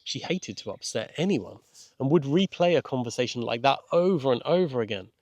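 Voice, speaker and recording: Male storyteller, medium pitch, gentle measured read of a children's novel, very little room sound. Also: expressive, dry recording. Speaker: author and narrator. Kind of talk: scripted children's audiobook narration.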